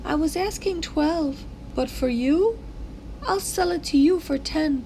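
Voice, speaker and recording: A woman voicing an earnest, expressive young girl in a children's storybook. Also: high voice, measured pace, slight background noise, pitched above the performer's own voice, poor audio. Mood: earnest, hopeful. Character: excitable young girl selling her music box.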